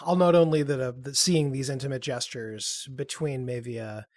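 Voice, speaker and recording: A man's voice: mid-range voice, steady tone, normal speed, darkish tone, no echo, clean studio sound. A man who plays in a tabletop role-playing game, making wry, mock-formal quips. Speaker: player.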